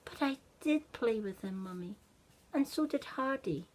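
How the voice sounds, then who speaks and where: A woman voicing an earnest, excitable little boy in a children's story, speaking insistently. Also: a little high, unhurried, noisy background, pitched above the performer's own voice. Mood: insistent, pleading. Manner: insistent, emphatic.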